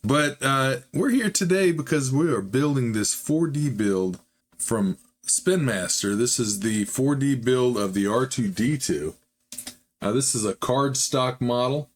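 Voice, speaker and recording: Man, slightly hoarse, mid-pitched, casual build vlog host, rambling with fillers. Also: slight background noise. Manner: easygoing, rambling, frequent "uh" fillers. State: slightly hoarse, recovering from a chest illness. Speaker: hobby model-building channel host.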